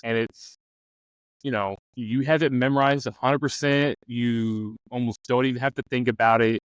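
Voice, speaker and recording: A man's voice: mid-range voice, fairly even intonation, normal speed, clean tone, no room sound, low-quality recording. A man on a talk show, giving game master advice in a casual, conversational way.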